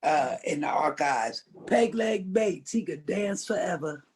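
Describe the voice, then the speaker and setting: A woman's voice: on the low side, lively intonation, a little slow, a little mellow. An older woman, a veteran swing dancer and podcast guest, telling stories emphatically and repeating phrases to drive her point home. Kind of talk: animated conversational storytelling.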